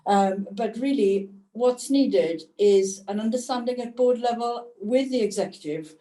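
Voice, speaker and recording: Mellow woman on an echoey call. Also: on the high side. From Wales. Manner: measured, thoughtful, pauses with fillers. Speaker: panelist. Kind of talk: webinar panel discussion. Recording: echoey room, distant mic.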